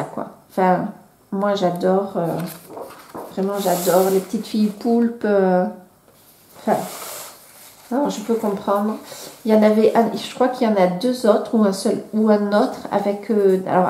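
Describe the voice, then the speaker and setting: A woman's voice: mid-range voice, steady tone, a little slow, warm tone, a little hiss or hum. French woman with a cold, hesitant and chatty. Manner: hesitant, many fillers and restarts. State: has a cold. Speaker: vlogger. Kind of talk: casual chat. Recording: echoey room.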